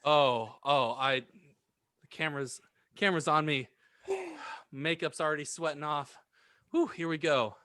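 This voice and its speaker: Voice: mid-range voice, unhurried, long pauses, fairly bright tone, dry recording. A podcast co-host doing exaggerated, theatrical comic role-play and banter, given to giggling.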